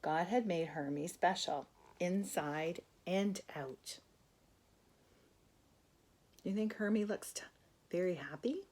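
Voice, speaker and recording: An older woman reads a children's picture book aloud in a gentle, expressive way, with asides to a young listener. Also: fairly low pitch, takes it slowly, pauses often. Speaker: grandmother storyteller.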